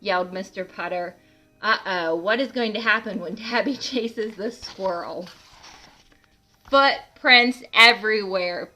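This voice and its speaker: A woman reading a picture book aloud to children in an animated way, adding asides and questions to the listeners. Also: on the high side. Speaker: read-aloud host for children.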